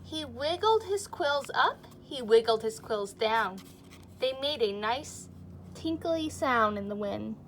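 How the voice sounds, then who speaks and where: A woman with a fairly high voice reads a picture book to children in a teacherly way. She explains simply and puts questions to her young listeners. There is clear noise behind her. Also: expressive, slow pace, fairly bright tone. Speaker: teacher reading aloud to children. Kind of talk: children's storybook read-aloud with asides and questions.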